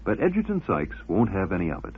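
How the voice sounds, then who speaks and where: A man's voice: low-pitched, huge pitch swings, fast talker, breathy edge. A man hosting a radio documentary, delivering scripted narration and questions. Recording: muffled, narrow-band old radio broadcast.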